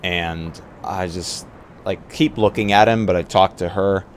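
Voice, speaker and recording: A man's voice: deep voice, fairly even intonation, a little slow, a little hiss or hum. A man chatting casually as a player in a tabletop roleplay, laid-back and hesitant as he describes his moves.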